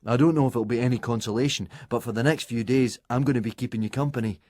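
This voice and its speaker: A man's voice: mid-range voice, fast, darkish tone, dry recording, natural voice. Male reader as a wry, friendly storybook teenage boy, understated humour, dry close sound. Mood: amused.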